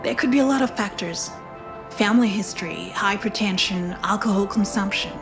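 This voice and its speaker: An actress playing a tactful hospital doctor in a video game, speaking in a measured, polite, professional way. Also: female voice, mid-range voice, music in the background, lightly acted. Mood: professional, calm. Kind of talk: naturalistic game voice acting.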